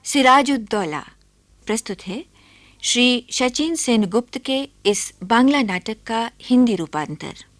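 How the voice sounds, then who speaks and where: A woman announces a radio play in formal, scripted style. Her voice is fairly high, recorded close and dry in a studio. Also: expressive, measured pace, dry recording.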